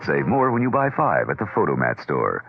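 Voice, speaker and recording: A man's voice: extremely low pitch, huge pitch swings, brisk, vocal fry. A man reading scripted advertising copy as a radio announcer. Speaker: commercial announcer. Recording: muffled radio broadcast with loud music.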